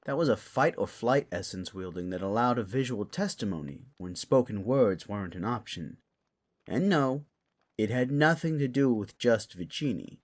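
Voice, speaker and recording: An actor playing a knowledgeable man in a fantasy audio drama, explaining magic in a measured way. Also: lowish voice, lively intonation, a little mellow. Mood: matter-of-fact.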